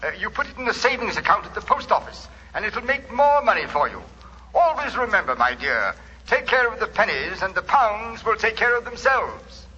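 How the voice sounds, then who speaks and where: An actor playing a fussy, condescending rich British city gentleman with a pompous, hesitant manner in old radio style. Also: sits high, very animated, normal speed, muffled recording, exaggerated voice. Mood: fussy, patronizing. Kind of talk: 1940s radio acting. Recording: noisy, reverberant 1940s radio recording.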